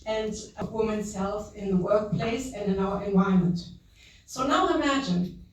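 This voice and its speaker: A woman from Germany with a German accent, giving an earnest, halting acceptance speech with many fillers. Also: medium pitch. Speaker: award recipient. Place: large hall at an evening event. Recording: echoey hall from far off.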